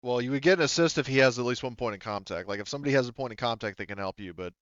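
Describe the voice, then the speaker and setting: A man running a tabletop game as game master, giving casual rulings and narration in a halting way, thinking aloud. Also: mid-range voice, a bit bright, smooth, no echo, lo-fi sound.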